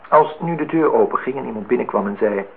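An earnest man in his thirties, in love and full of self-reproach. His voice is creaky and he speaks in formal, halting, reflective phrases. It is a radio play with a narrow, telephone-thin sound. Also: mid-range voice, lively intonation. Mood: earnest, resigned. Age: 30s. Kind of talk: radio drama acting. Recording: thin phone-like sound, noisy old radio recording.

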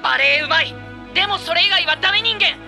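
A piping, bright teenage anime boy, as a woman plays him, bursting with eager energy and puffing himself up. His voice sits very high with a sharp, ringing edge. Light music plays under him in a drama CD. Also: fast talker, vocal fry, heavily acted. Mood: announcing. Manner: overexcited, self-dramatizing. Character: energetic boastful teenage boy volleyball player.

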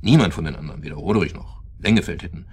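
A man acting in a radio drama as a dogged, bitter accuser, probing and sardonic, with halting repetitions. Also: extremely low pitch, a little mellow, husky. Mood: insistent. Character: dogged, bitter male accuser reconstructing a crime.

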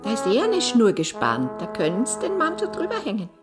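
An actress playing a dry, teasing rustic housewife in a radio play, gossipy, with an Austrian accent. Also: female voice, a little high, huge pitch swings, a little fast, loud music behind the voice.

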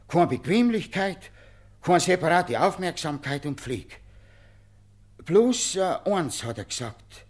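A man's voice: on the high side, exaggerated voice. An actor playing a simple, earnest Bavarian monastery brother in a radio play, recounting what he witnessed in an emphatic, rustic way. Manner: emphatic, rustic storytelling with small hesitations. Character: simple monastery tailor brother, earnest, plain-spoken eyewitness.

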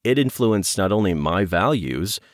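Man, very low voice, earnest, reflective, conversational interview guest, close dry sound. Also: lively intonation, moderate pace, no room sound. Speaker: guest, audio drama producer.

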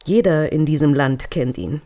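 An actress playing a determined, grieving lover in a radio crime drama, speaking in short, insistent phrases. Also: female voice, low voice, normal speed, natural voice. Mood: guarded. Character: determined grieving mistress seeking truth about dead lover.

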